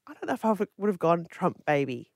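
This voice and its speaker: A woman with an Australian accent co-hosting a morning radio show, chatty and quick-witted as she guesses quiz answers. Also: lowish voice, very animated, darkish tone, dry recording, studio quality. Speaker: radio breakfast co-host. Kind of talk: casual on-air banter. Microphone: dry studio mic.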